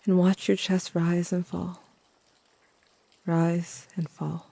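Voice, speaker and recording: A woman's voice: on the low side, flat delivery, slow, creaky, mellow, no echo, exaggerated voice. A woman playing a tender, wry, grieving teenager who narrates an audio drama in a reflective, confiding way. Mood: somber. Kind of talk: intimate first-person audio drama narration.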